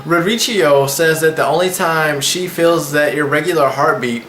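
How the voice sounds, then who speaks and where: Bright-voiced young man, echoey room. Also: a little high, moderate pace. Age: 20s. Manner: slangy, rambling, loose phrasing. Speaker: anime reviewer and channel host. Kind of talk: casual vlog episode recap. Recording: echoey room with faint background noise.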